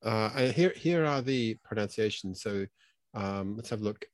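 A man's voice: lowish voice, lively intonation, moderate pace, dry recording. Male podcast host, casual and chatty, dry close mic. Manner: chatty, filler-heavy asides. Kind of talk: casual chat judging photos.

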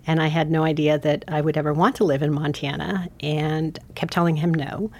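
A woman from San Francisco, a foundation CEO, giving measured, thoughtful answers in an interview. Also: sits low, level tone, darkish tone. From San Francisco, United States.

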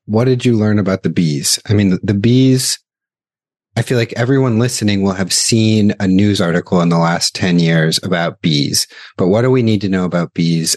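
A man with a very low voice hosts an interview podcast. He reads a prepared opening and then puts plain, steady questions to his guest. The sound is dry and close. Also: dry recording. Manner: plainly spoken, reads a scripted intro. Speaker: podcast host and investor.

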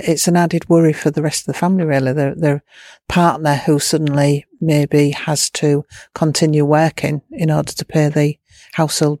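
A woman's voice: deep voice, creaky, dark tone, no room sound, studio quality. A woman charity manager answering interview questions in a plain-spoken way, with frequent fillers and restarts.

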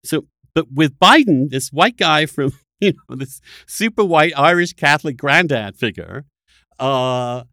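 Animated man with a higher voice, dry sound. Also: dry recording. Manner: emphatic, halting with repeated restarts. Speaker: podcast host in conversation with a guest. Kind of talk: casual political discussion.

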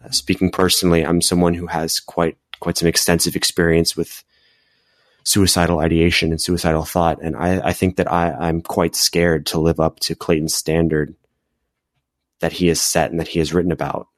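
A man on a podcast panel, speaking earnestly and in a measured way, with frequent fillers. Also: sits low, little pitch movement, no room sound, studio quality. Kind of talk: reflective discussion.

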